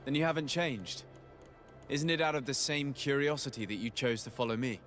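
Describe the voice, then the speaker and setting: An actor playing a sincere, reserved young noble diplomat in a video game, speaking politely and formally. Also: male voice, mid-range voice, brisk, a bit bright, soft background music, close to an everyday voice. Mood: courteous. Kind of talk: video game dialogue acting.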